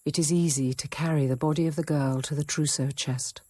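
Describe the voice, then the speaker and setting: An actress playing a discreet, thoughtful convent apothecary nun in a radio drama, quiet and earnest in both narration and dialogue. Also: female voice, sits low, steady tone, brisk, husky, no echo, studio quality. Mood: sober, narrating. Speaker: narrator and lead character.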